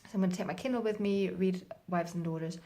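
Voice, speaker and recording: A woman's voice: on the low side, steady tone, fast, warm tone. A woman chatting casually as a book vlogger, in an informal, self-deprecating way. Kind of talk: casual chatty reading vlog.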